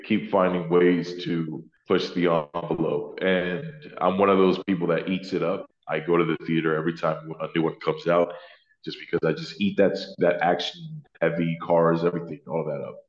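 Man, deep baritone, earnest guest, roomy slightly muffled recording. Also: level tone, normal speed. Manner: earnest, thoughtful, self-correcting. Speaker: actor, interview guest. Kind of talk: casual interview chat. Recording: roomy, slightly dull sound.

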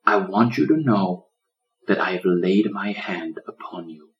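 A man plays an old storybook lion, gentle and protective. The voice is low and very unhurried, with solemn, loving delivery like a shepherd preaching to a flock. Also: animated, lo-fi sound. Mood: tender, protective.

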